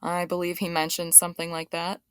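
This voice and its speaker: A woman's voice: on the low side, monotone, brisk, clean tone, no echo, clean studio sound, natural voice. A woman playing a terse police detective on the witness stand in an audio drama, guarded and matter-of-fact.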